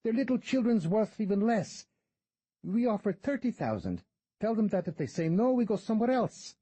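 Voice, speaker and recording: Man voicing a guide, tall, greedy, menacing, high strained voice, gruff broken English. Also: sing-song, normal speed, warm tone, dry recording, heavily acted. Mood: scornful. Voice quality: raised, strained pitch. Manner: barking, brusque broken English.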